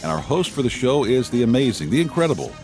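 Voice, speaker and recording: A man's voice: mid-range voice, big pitch swings, fast talker, over music. A man announcing a radio show in an effusive, showy introduction style.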